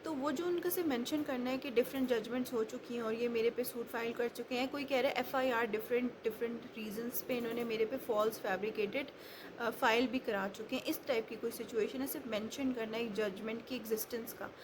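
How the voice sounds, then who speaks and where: A woman's voice: fairly high pitch, fairly even intonation, fairly quick. A woman giving an explanatory law lecture step by step, mixing in English legal terms. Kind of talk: explanatory lecture with worked examples. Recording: clearly noisy recording with background ambience.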